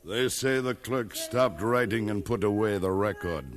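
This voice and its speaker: An actor playing a grave narrator who recounts a legend in solemn, measured 1950s radio drama style. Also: male voice, on the low side, lightly acted. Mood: solemn, ominous. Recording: 1950s radio recording, faint music behind.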